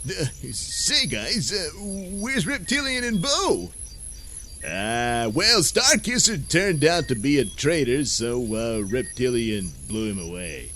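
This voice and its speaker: A man voicing a slow, stammering space commander. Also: medium pitch, very animated, bright, forceful tone, faint music behind, full character voice. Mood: sheepish, apologetic. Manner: stammering, hesitant, sheepish.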